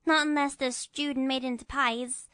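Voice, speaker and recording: A man's voice: very high voice, flat delivery, moderate pace, pushed and bright, clean tone, pitched above the performer's own voice. A man voicing a polite young boy adventurer in a storybook, meek and earnest in manner. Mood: amused.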